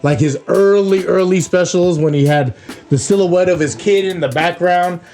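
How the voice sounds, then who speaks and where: A man co-hosting a podcast in relaxed casual chat, often repeating words as he starts a thought. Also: sits high, normal speed, soft background music. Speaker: podcast co-host.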